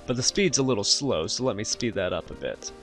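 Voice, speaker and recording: A man hosting a software tutorial, explaining each step in an instructional way. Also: fairly low pitch, animated, a little fast, soft background music. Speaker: tutorial host. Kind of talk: software tutorial narration over screen recording.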